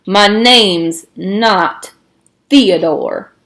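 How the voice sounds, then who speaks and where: A woman's voice: mid-range voice, very slow, a bit bright. Woman as a tough, cocky teenage camp boy. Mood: menacing.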